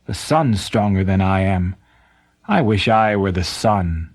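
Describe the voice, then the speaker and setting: A man's voice: low-pitched, expressive, measured pace, voice pushed down, exaggerated voice. A man voicing a wistful stonecutter who wishes to be stronger, exclaiming in a storybook tale. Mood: wistful, envious. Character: male stonecutter, ever wishing to be stronger.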